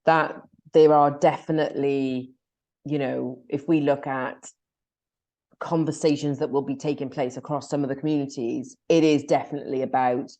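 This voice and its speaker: A Welsh woman with a strikingly low, deep voice chats frankly on a podcast. She talks in a lively way and drops in quick asides. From Wales. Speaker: podcast co-host. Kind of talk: casual podcast discussion.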